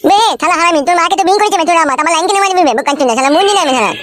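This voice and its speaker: Woman doing a mouthy cartoon village kid, little boy, rural west bengal accent, squeaky and super quick. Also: animated, fairly bright tone. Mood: brash, cheeky. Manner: brash, mouthy. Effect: pitched-up cartoon voice. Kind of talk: comedy cartoon dialogue.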